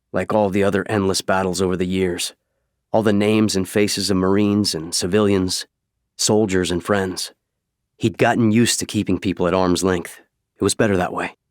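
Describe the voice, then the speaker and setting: Male narrator for novel audiobook, lowish register, close dry studio sound. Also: no room sound. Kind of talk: scripted fiction narration.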